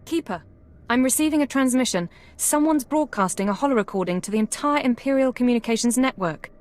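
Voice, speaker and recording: Actress as a fast-talking female intelligence analyst. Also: fairly high pitch, lively intonation, natural voice. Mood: alert, efficient. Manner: clipped, precise, earnest. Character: crisp, efficient young female intelligence analyst. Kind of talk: video game voice acting.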